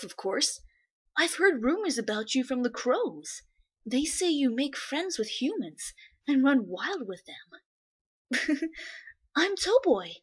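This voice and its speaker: A woman voicing a friendly, wistful young male wolf for an animation, earnest and halting when upset. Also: sits high.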